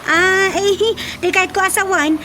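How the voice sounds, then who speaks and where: A woman's voice: very high-pitched, fairly even intonation, normal speed, sharp tone, a little hiss or hum. An actress with an Ilocano accent playing a chatty village woman in a radio comedy drama.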